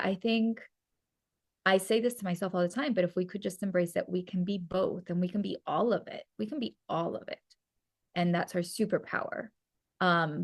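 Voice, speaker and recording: A woman's voice: fairly low pitch, creaky, a little mellow, dry recording. Earnest woman guest, dry close voice. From San Diego and Mexico. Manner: earnest, reflective, restarts often. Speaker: actress guest. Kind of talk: casual interview.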